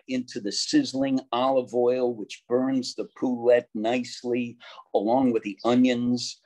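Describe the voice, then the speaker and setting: Slow-paced man reading poetry. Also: mid-range voice, darkish tone, rough recording. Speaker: poetry reader. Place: room at home, on a video call.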